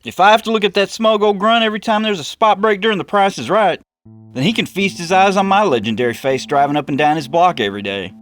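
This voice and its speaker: A male narrator acts out a boastful preacher hustler for a storybook. He is bullying and full of swagger, his voice jumping high and loud as he goads. Faint music plays underneath. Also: quick pace, close to an everyday voice. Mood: irritated, smug. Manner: booming, pushy, cocky.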